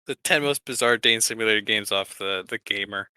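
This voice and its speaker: A man talks in a bright, crisp voice with a very dry, close sound. He chats casually like a podcast co-host, sometimes halting as he finds his words. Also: fairly low pitch, dry recording. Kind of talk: casual podcast chat about games.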